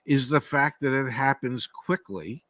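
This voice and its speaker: An older man talking in a measured, hesitant way and often restarting his sentences. His voice sits at an ordinary pitch, and the sound is dry and close with no room echo. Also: a bit bright. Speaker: podcast co-host. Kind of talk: casual live-stream talk.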